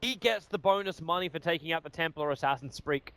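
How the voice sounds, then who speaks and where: A man with an Australian accent calls an esports match play by play, quick and excitable as fights break out. His voice sits high for a man, and the talk runs fast and urgent. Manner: rapid, excitable calling of the action. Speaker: esports commentator.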